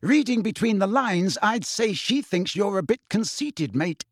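A wry, breezy teenage schoolboy, put on by a man narrating a storybook. He speaks briskly and teasingly in a high, animated voice, recorded very dry. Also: no echo, heavily acted.

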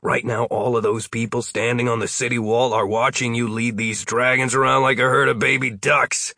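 A man's voice: medium pitch, little pitch movement, moderate pace, pushed and bright, dry recording, clean studio sound. Man voicing a sly, folksy older wizard mentor. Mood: persuasive, scheming. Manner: folksy, teasing, persuasive.

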